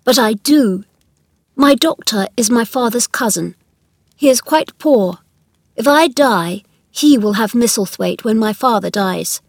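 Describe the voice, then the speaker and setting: A woman's voice: fairly high pitch, lively intonation, unhurried, no room sound, clean studio sound, voice pushed up. A woman voicing a sickly young boy in a children's storybook, demanding, fretful and self-pitying. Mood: cross, fretful.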